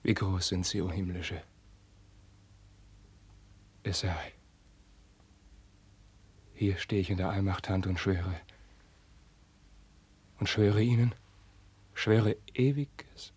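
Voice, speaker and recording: An actor playing a passionate, lovelorn young prince in a 1950s classical radio drama, declaiming fervently and haltingly. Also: male voice, low-pitched, a little mellow, slightly rough, heavily acted. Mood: solemn, anguished. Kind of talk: 1950s German stage-style radio acting of classical verse.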